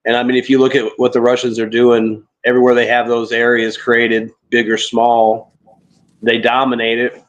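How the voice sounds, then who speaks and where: Guy on a live panel, middle pitch, rambly casual explaining, feeling around for words. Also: completely flat delivery, normal speed, lo-fi sound. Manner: loose, searching for words. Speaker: panel participant in live open mic. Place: remote video call.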